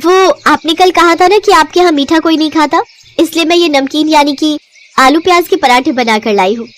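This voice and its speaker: A woman's voice: sits high, normal speed, a little hiss or hum, natural voice. A woman voicing a sweet, ingratiating young female neighbour in a cartoon story, chirpy and over-friendly.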